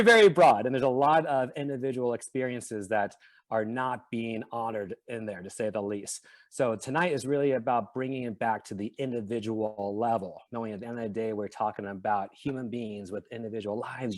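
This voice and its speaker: Guy hosting a zoom panel, even middle voice, earnest and measured. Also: mid-range voice. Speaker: event host.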